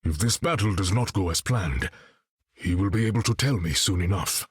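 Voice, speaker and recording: A man's voice: deep voice, lively intonation, moderate pace, slightly rough, no room sound, studio quality, exaggerated voice. A man playing a proud, dignified warrior king in a video game, with an African accent and formal, regal phrasing. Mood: proud, solemn. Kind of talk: video game character voice acting.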